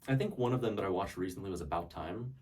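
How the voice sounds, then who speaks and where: A young man answering interview questions in a thoughtful way, often pausing to search for words. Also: deep voice, animated, darkish tone. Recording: voice picked up from a distance.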